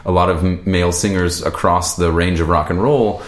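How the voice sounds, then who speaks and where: Booming deep-voiced young man. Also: steady tone, brisk. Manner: loud, booming and laughs easily. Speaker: singer guest. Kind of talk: casual interview chat. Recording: echoey room.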